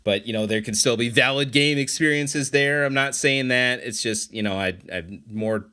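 A man's voice: mid-range voice, normal speed, sharp tone. A man in a casual podcast chat about video games, talking in a rambling, reflective way. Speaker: podcast host.

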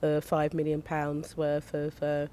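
A woman recovering from flu, her voice lower than usual, chatting casually as a guest in an outdoor community garden, sharing one small microphone. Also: completely flat delivery, a little slow, dark tone. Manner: chatty, rambling, with little laughs. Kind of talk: casual chat. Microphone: sharing one small microphone with the host.

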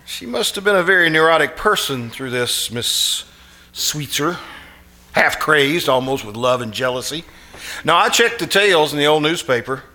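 A man's voice: on the high side, lively intonation, moderate pace, bright, forceful tone. A man playing a morbid, insistent, nervy schemer in a live stage re-creation of a 1940s radio suspense play, with a theatrical, coaxing delivery. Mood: morbid relish. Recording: live performance recording with music behind.

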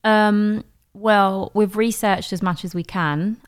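A woman's voice: medium pitch, steady tone, slow, clear, resonant tone. A woman from East London with a Cockney accent, telling stories as a podcast guest and laughing easily. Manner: chatty storyteller, laughs easily. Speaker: songwriter guest. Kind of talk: casual interview chat.

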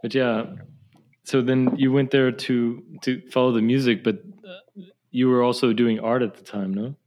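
A man's voice: medium pitch, fairly even intonation, normal speed, a little mellow, a little hiss or hum. An attentive male interviewer keeps a casual conversation going. He asks easy questions and backs the guest with short acknowledgements.

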